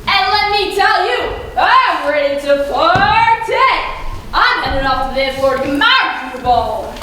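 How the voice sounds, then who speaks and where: Echoey stage, faint noise, a woman acting wild old party granny, bright very high voice, amateur play. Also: animated, measured pace, heavily acted. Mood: cheeky, boastful.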